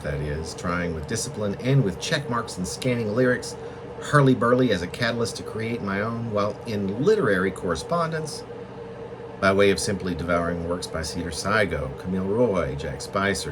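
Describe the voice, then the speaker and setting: A man with a low voice reads his own poetry with lively, swinging intonation. His phrasing is deliberate and halting, pausing in the middle of thoughts. The recording has a clear background hum, like air conditioning. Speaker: poet reading own work. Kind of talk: literary poetry reading, reflective monologue.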